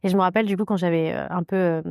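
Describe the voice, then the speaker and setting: A woman from France, a podcast guest, telling her personal story candidly in a halting way, with many fillers and restarts. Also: lowish voice, steady tone, a little mellow, clear, resonant tone, dry recording, studio quality.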